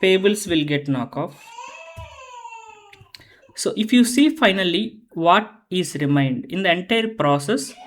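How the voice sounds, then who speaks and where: An instructor giving a methodical, step-by-step explanatory lesson on accounting software, often repeating phrases while explaining. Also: medium pitch, lively intonation, measured pace, pauses often, slight background noise.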